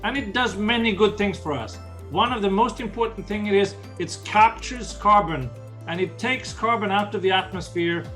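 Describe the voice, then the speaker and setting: Older Swedish-accented man, high voice. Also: moderate pace, pushed and bright, soft background music. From Sweden. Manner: earnest, explanatory, restarts phrases. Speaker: ocean conservation leader. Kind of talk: interview answers.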